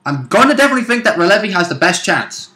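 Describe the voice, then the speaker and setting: Guy with a slightly high bright voice, casual game chat, hedges a lot. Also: sing-song, rapid-fire. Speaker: co-commentator and player. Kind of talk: casual chat, speculative commentary.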